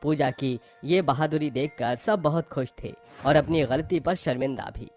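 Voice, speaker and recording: A man's voice: on the high side, normal speed, darkish tone, soft background music. A man giving scripted narration of a story as its narrator.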